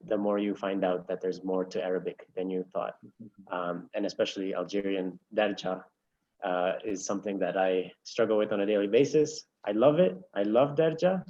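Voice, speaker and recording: Male diplomat, low voice, enthusiastic and unhurried, lo-fi recording. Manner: warm enthusiasm, lists with pauses. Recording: dull lo-fi sound.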